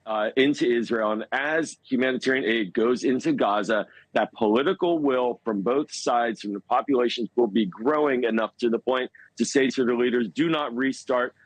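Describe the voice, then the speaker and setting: A man with a mid-pitched voice works through analysis as a television news guest. He is measured and halting, leaning on key words for emphasis. The sound is dry, with very little room in it. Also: no echo, low-quality recording. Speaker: foreign policy commentator, news guest. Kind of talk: live news interview analysis.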